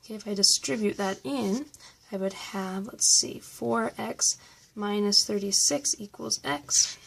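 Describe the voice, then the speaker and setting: Woman math teacher walking thru review problems, kinda hoarse, raspy low fry in her voice. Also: a little slow, a bit bright, rough recording. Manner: patient, step-by-step explaining. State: slightly hoarse, losing her voice. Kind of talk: recorded math review lesson.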